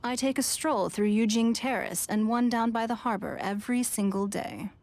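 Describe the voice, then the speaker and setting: A woman's voice: on the high side, normal speed, darkish tone, slight background noise, natural voice. An actress playing a refined, composed lady of leisure in a video game. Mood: composed, sincere. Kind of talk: scripted video game voice acting.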